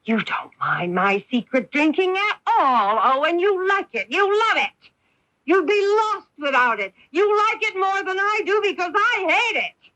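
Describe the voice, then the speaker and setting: A woman playing a bitter, wounded wife and mother in a 1980s radio drama, emphatic and theatrical, with deliberate pauses. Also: very high voice, big pitch swings, sharp tone, no echo, exaggerated voice. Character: bitter, wounded wife and protective mother, faded society belle. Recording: dull lo-fi off-air radio recording.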